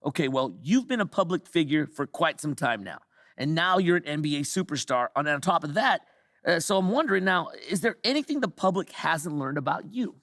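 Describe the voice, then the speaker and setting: Chatty, higher-pitched sports reporter. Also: male voice, animated, a little fast, a bit bright, dry recording, studio quality. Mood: pressing. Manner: prodding, hesitant phrasing. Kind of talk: conversational interview.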